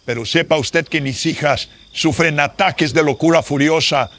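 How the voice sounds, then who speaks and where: A man's voice: a little high, normal speed, a bit bright, voice pushed up, natural voice. A man voicing a stern, secretive colonial nobleman in a horror radio drama, speaking formally and theatrically. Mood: grave explaining.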